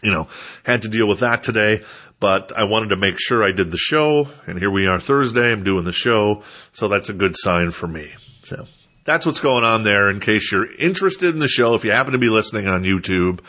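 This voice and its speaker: Bright-voiced, low-pitched Midwestern man, congested. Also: expressive, normal speed. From Minnesota, United States. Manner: rambling, self-deprecating, conversational asides. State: stuffed up, congested. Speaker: podcast host. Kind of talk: casual solo podcast monologue.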